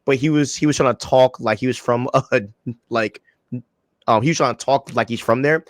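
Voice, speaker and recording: Young dude rambling on a podcast, lots of likes and bros, dry mic. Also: male voice, medium pitch, normal speed, dry recording. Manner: rambling, heavy slang and filler, emphatic. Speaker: podcast co-host. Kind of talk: casual podcast chat.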